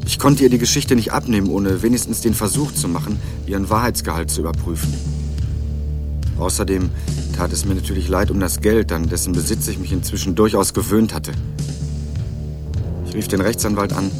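Male actor as wry young student, low voice, laconic delivery, ironic crime radio play narration. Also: a little fast, over music, close to an everyday voice. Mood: dry, wry. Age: young adult. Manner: dry, ironic. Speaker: narrator and protagonist.

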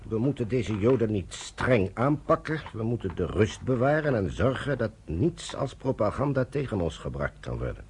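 A man's voice: fairly low pitch, big pitch swings, moderate pace, few pauses, a little mellow, a little hiss or hum. An actor playing an authoritative British male general in a radio drama, speaking in a commanding, curt, dismissive way.

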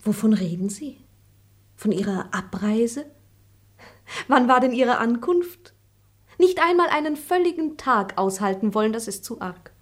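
A woman's voice: fairly high pitch, lively intonation, unhurried, long pauses. Playful young lady. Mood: teasing. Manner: playful, teasing. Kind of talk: radio drama acting.

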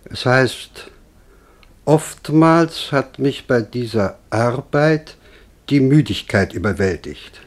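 An actor playing a pompous, pedantic male museum scholar with a husky voice in a German radio play. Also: mid-range voice, animated, slow. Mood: disdainful. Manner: pompous, hesitant, exacting.

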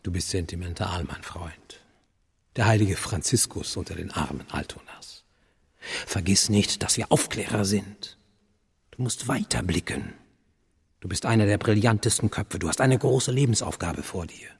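An actor playing a cynical, urgent older intellectual mentor in a radio play, persuading insistently with a husky, gravelly voice. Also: male voice, sits low, expressive, normal speed, exaggerated voice. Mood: sardonic, reproachful. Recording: long reverberant hall.

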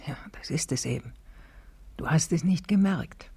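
A woman's voice: low-pitched, expressive, normal speed, creaky, mellow, husky, full character voice. An actress in a German radio play playing an earnest, worried woman who speaks in a thoughtful, questioning way. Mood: troubled, insistent. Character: earnest, worried woman in a school, pensive and insistent. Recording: 1970s radio drama with music.

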